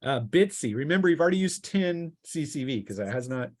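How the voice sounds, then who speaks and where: A man's voice: on the high side, lively intonation, a little fast, a little mellow, no room sound. A man running a tabletop roleplaying game as game master, narrating combat casually with vivid scene-setting.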